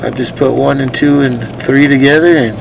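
Casting: male livestream reporter, heavy vocal fry, slangy and casual, noisy street and car ambience. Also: mid-range voice, steady tone, normal speed. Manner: slangy, addressing viewers as guys. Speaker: livestream host covering police activity. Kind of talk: casual live commentary to viewers. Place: outdoors at night roadside, then in a car.

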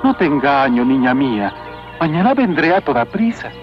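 An actor playing a humble, devout peasant in a cartoon, earnest and deferential. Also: male voice, mid-range voice, sing-song, normal speed, over music, lightly acted. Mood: reverent. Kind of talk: dubbed cartoon acting. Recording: muffled old cartoon soundtrack.

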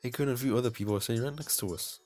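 Male, higher-than-deep everyday voice at medium pitch, goofy, self-mocking, casual game commentary, music behind. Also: racing, darkish tone. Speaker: let's player. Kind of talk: casual playthrough commentary, reading visual novel text aloud.

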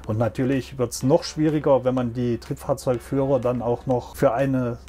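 A man's voice: medium pitch, fairly even intonation, moderate pace, mellow. A middle-aged man, a train driver, speaking plainly in an interview from a locomotive cab, with a few hesitations.

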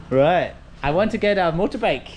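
A man's voice: high voice, darkish tone. A man vlogging as he walks outdoors, chatty and upbeat, greeting people as he goes. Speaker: travel vlogger. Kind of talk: casual walking vlog narration. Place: outdoors on a busy street. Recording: street traffic noise behind.

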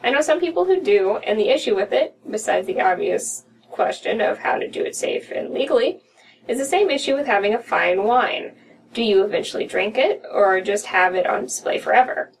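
A woman's voice: medium pitch, lively intonation, normal speed. A young American woman co-hosting a podcast, reading a story aloud in a room at home. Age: young adult. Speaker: podcast co-host reading horror stories. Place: small room at home, indoors. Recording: distant mic with room noise.

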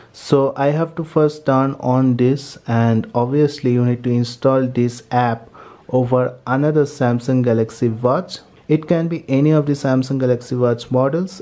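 A man's voice: medium pitch, dark tone. A man narrating a step-by-step tech tutorial in a methodical, repetitive way.

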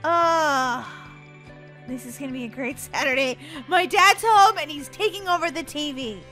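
A woman's voice: high voice, moderate pace, pushed and bright, faint music behind. A woman voicing a playful, whiny, sassy young girl in an exaggerated childlike manner, role-playing over a video game. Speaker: gaming channel host.

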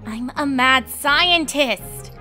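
A woman as earnest, high-voiced scholarly unicorn pony. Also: extremely slow, over loud music. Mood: dry, sheepish. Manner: earnest, exasperated. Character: female unicorn pony, earnest studious scholar, easily exasperated. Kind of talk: cartoon storybook character reading.

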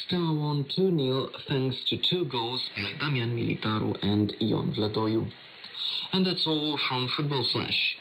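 Through clear shortwave static and interference comes a man's mid-pitched voice. He is a radio presenter reading a scripted sports bulletin in a newsreading style. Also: fairly bright tone. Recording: noisy shortwave radio reception.

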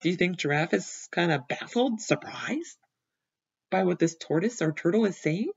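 Young teacher reading to kids at home. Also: female voice, fairly low pitch, expressive, normal speed, husky, highs cut off. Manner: gentle questioning, explains words. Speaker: first grade teacher. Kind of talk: picture book read-aloud for kids. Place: room at home, indoors. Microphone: laptop webcam.

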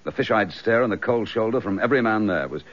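An actor playing an embattled, indignant British police chief inspector in a radio drama, clipped, forthright and sardonic. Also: male voice, fairly low pitch, lively intonation, quick pace, fairly bright tone. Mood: bitter, sarcastic. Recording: slightly dull radio drama recording.

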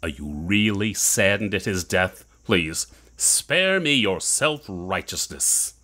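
An actor playing a ruthless, self-justifying villain in an audio horror drama, scornful and theatrical. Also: male voice, sits low, very animated, takes it slowly, pushed and bright, exaggerated voice.

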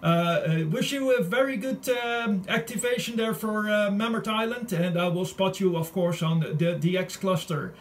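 Male radio hobbyist, high voice, friendly and hesitant, clear background noise. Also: normal speed, hardly any pauses. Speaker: amateur radio operator. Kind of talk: casual radio contact chat.

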